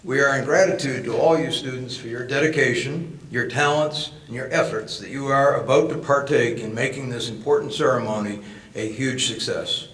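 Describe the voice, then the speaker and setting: A man's voice: medium pitch, a bit bright. Man reading a formal address, echoey room. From Connecticut, United States. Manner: measured, formal. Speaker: bar association president. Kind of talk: formal scripted speech. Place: large echoey courtroom. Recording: echoey room, faint noise.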